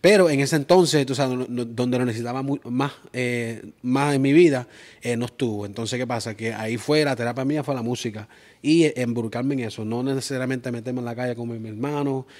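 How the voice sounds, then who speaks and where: Thirties man, Puerto Rican accent, hesitant and soft-spoken, guest telling his life story. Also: mid-range voice, fairly quick. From Springfield, Massachusetts, of Puerto Rican parents. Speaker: guest, music producer. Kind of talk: personal storytelling in interview. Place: purple-lit indoor studio set.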